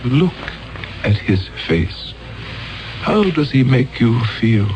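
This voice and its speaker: An actor playing a solemn, coldly insistent elder in a 1970s radio drama, speaking in measured, commanding phrases. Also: male voice, medium pitch, big pitch swings, music in the background, low-quality recording. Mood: hypnotic, commanding. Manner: measured, commanding, deliberate pauses.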